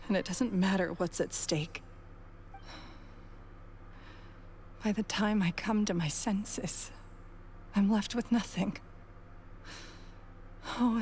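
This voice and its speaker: Slow, bitter woman schemer. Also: lowish voice, a little mellow, a little hiss or hum. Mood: regretful. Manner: deliberate, brooding. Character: bitter, calculating adult female villain, proud and regretful.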